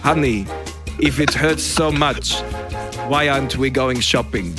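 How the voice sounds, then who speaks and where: A man's voice: medium pitch, measured pace, over music, natural voice. A man voicing a melodramatic, gossipy woman with a wandering, put-on Eastern European accent in an amateur scene. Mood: coaxing. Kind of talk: hammy amateur scene reading.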